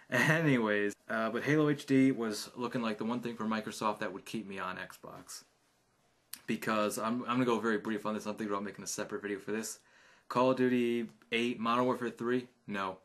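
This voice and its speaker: A man's voice: on the low side, a bit bright. A man casually rambling his opinions on video games, chatty and offhand with many fillers. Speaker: gaming YouTuber.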